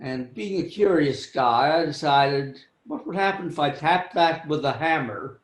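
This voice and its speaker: An older man with a high-pitched voice tells a wry, self-deprecating story about his own life in a club speech over a video call. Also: lively intonation, a little slow. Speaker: club speaker.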